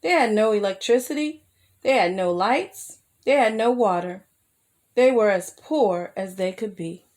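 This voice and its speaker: A woman reading a children's picture book aloud as a storyteller, expressive and careful, with asides to her young listeners. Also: mid-range voice, unhurried. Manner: addresses young listeners with simple questions.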